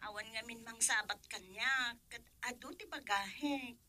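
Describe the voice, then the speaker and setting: An actress playing an eager, bubbly young woman in a radio drama, gushing and animated. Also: fairly high pitch, unhurried, no echo, exaggerated voice.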